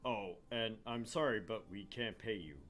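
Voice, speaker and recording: A man voicing a genial, apologetic professor in an anime game read-aloud, with an emphatic, deliberate delivery. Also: on the low side, a bit bright, close to an everyday voice. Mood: earnest, apologetic. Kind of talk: visual novel character read-aloud.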